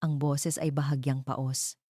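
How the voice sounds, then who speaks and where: Female narrator for romantic audio drama; low, soft-edged mellow voice, dry close sound. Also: little pitch movement, unhurried, no echo. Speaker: storyteller.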